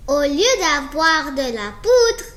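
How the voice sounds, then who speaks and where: A woman reading a children's story aloud in an animated way, slowly and with small stumbles. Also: high-pitched. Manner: slow, halting reading with stumbles. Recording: roomy echo with faint background noise.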